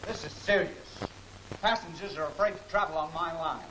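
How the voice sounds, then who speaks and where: A male actor plays a troubled, demanding businessman from a 1940s film serial. His voice is high and impatient, set in the clear noise of an old film soundtrack. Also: a little fast, close to an everyday voice. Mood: worried, impatient. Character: worried, impatient airline boss demanding results.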